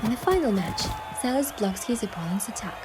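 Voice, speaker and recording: A woman voicing an excitable, playful young heroine in love, in a romance game with first-person storytelling. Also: a little high, fairly quick, background noise, natural voice. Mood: narrating, calm. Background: music.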